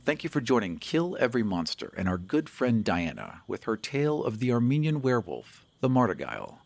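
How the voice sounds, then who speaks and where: A man's voice: medium pitch, very animated, brisk, creaky. A man reading scripted announcements as a podcast host.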